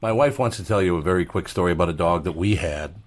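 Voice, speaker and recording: Male radio host, lowish voice, rambling, lots of fillers. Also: fast talker. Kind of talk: radio interview.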